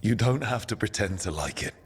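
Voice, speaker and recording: An actor playing an easygoing, supportive adventurer hero in a video game, casual and reassuring with dry humour. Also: male voice, lowish voice, animated, brisk, husky. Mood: lightly teasing. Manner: casual, reassuring, lightly teasing. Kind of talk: video game dialogue acting.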